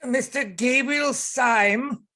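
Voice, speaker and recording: An actor as bright-voiced old anarchist professor. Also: sits high, extremely slow, heavily acted. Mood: probing, suspicious. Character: old male anarchist professor, slow and probing. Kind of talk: radio play read aloud.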